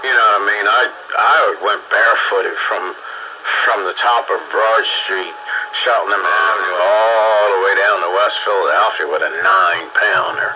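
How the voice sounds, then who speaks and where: A man's voice: on the low side, fairly even intonation, normal speed. A middle-aged or older man chatting casually as a CB radio hobbyist over a dull, noisy transmission. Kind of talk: casual radio chat, reminiscing and commenting.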